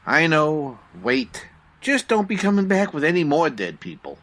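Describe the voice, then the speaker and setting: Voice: mid-range voice, wildly swooping pitch, moderate pace, a bit bright, full character voice. An actor playing a nosy, wisecracking cab driver in an old-time radio comedy, comically affronted in delivery. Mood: resigned. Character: nosy, wisecracking, determined cabbie sidekick.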